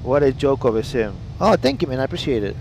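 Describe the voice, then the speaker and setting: A man's voice: mid-range voice, very animated, fast talker, gravelly, darkish tone, slight background noise, rough recording. A man with an Italian accent, a native Italian speaker, chatting bluntly and with lots of swearing while live-streaming a flight simulator session. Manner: blunt, profane, reads chat aloud. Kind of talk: casual live-stream chat. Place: home flight simulator room.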